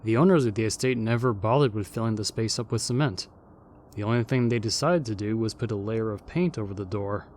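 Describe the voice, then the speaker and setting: A man's voice: lowish voice, a little fast, a little mellow, slight background noise, natural voice. A man playing a formal, matter-of-fact ghost from an older era, skeptical and level-headed, in an audio roleplay. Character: stuffy, level-headed old-time male ghost. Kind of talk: fan audio roleplay comedy acting.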